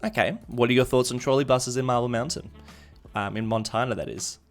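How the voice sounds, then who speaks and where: A man streams a game in an easygoing, conversational way. His voice sits at an average male pitch as he muses aloud to his viewers. Music plays behind him. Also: brisk. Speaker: live streamer. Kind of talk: casual game-stream commentary, chatting with viewers. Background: background music.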